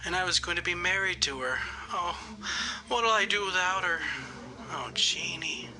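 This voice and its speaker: A man's voice: sits high, lively intonation, moderate pace, poor audio. A man playing a heartbroken bridegroom in radio drama, plaintively mourning his lost love. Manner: plaintive, lovelorn monologue. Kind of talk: live radio horror drama acting. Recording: crowd murmur behind the voice. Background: murmuring crowd.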